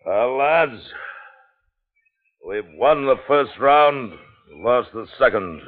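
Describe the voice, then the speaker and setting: A man's voice: mid-range voice, lightly acted. Through a narrow, phone-like old radio recording comes an actor as a commanding plantation owner. He is defiant and grimly stubborn, with clipped, authoritative delivery in classic 1950s radio drama style.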